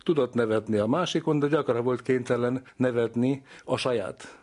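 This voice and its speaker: A man's voice: mid-range voice, steady tone, a little mellow. A man giving reflective, scholarly explanations in an interview, speaking discursively as an expert.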